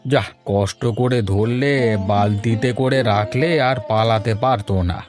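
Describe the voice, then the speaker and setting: Man playing slow, low-voiced grumbling old villager. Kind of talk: Bengali village cartoon dubbing. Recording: faint music behind the voice.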